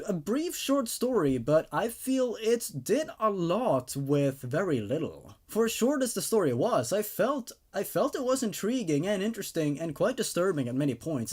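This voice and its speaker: A man who hosts horror story readings, narrating dramatically and commenting casually in an expressive, emphatic way. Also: sits high. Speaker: host reading and reviewing horror stories.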